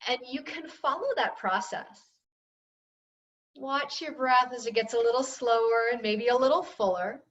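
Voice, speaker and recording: Female yoga teacher, soothing and gently instructive, recorded from a distance with slightly muffled sound. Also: a little high, normal speed, echoey room.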